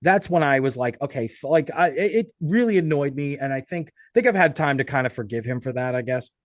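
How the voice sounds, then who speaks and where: A man's voice: medium pitch, expressive, a little fast, no room sound, studio quality. An American man hosting a podcast, asking questions and chatting casually in a conversational interview.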